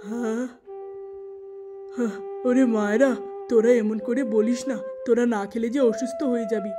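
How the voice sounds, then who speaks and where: A woman's voice: on the high side, fairly even intonation, moderate pace, music in the background, close to an everyday voice. A woman voicing a poor, weary middle-aged father in a cartoon moral tale, pleading and plaintive. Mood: placating.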